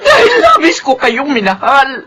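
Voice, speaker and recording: A woman's voice: sits high, huge pitch swings, unhurried, fairly bright tone, soft background music, heavily acted. An actress playing a devoted, long-suffering mother in a Filipino radio drama, earnest and emotional. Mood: hurt, furious. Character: long-suffering, devoted middle-aged widowed or wronged mother.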